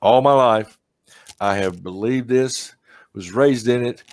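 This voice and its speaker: A man's voice: mid-range voice, slow pace, darkish tone, dry recording. Middle-aged male host, casual and chatty, laughs a lot, dry close mic. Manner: chatty, laughs often at his own stories. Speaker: podcast host. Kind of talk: casual chat.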